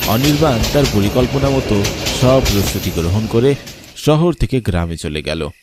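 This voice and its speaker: A man's voice: on the low side, animated, normal speed, background noise. A man narrating a scripted cartoon horror story. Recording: background music, night insects.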